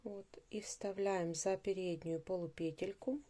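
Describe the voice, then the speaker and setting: A woman narrating a craft tutorial step by step, in an unhurried, instructive way, repeating phrases as she goes. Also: medium pitch, fairly even intonation, mellow.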